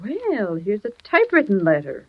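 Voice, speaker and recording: An actress playing a wry, wisecracking newspaper secretary in a 1930s radio drama. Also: female voice, mid-range voice, huge pitch swings. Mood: wry, exasperated. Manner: wry, quick with a quip. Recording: dull, lo-fi 1930s radio recording.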